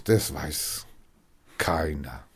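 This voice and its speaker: Animated man explaining things. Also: very deep voice, drawn-out words, breathy edge. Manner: animated explaining, acts out quoted dialogue, frequent restarts. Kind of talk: casual explanatory conversation.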